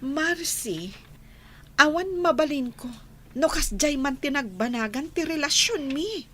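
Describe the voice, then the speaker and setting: A woman's voice: sits high, expressive, unhurried, sharp tone, slight background noise, full character voice. A woman acting in a radio drama as a firm young woman rejecting a suitor, with a Filipino Ilocano accent. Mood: defensive.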